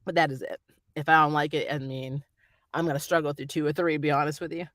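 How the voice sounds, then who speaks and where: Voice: mid-range voice, flat delivery, quick pace, fairly bright tone, no echo, clean studio sound. A vlogger chatting off the cuff in a rambling, opinionated way, like a fan giving a review. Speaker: YouTube reviewer reading and answering viewer comments.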